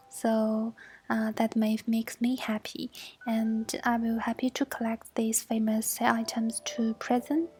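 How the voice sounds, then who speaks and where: Soft-spoken young Chinese woman, flat delivery. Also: a little high. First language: Mandarin. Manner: halting, with frequent fillers and soft, partly whispered stretches. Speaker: student presenting her work. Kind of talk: recorded assessment presentation. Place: at home. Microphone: basic home equipment.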